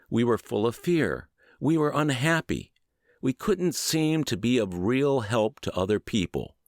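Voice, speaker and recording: A man narrates an audiobook in a lowish voice that rises and falls expressively. His phrasing is deliberate and emphatic, as he reads from a prepared text. The sound is dry, with no audible room. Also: dry recording. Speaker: audiobook narrator and podcast host. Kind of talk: scripted audiobook narration of an old book.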